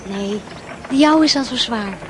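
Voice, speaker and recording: Woman as teenage schoolgirl, high pitch, friendly, sensible, radio play dialogue, noisy outdoor sound. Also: a little slow, lightly acted. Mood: friendly, amused. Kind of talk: Dutch radio play acting.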